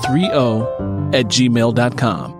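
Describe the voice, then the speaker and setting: A man narrates a scripted podcast as its host. His voice sits at an average pitch for a man. Music is clearly heard behind him. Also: slow.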